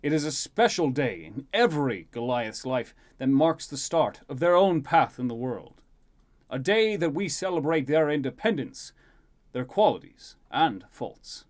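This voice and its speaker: A man's voice: mid-range voice, big pitch swings, a little slow, pitched above the performer's own voice. A man voicing a proud, loving Goliath king in a stately, formal speechmaking manner for a fantasy story.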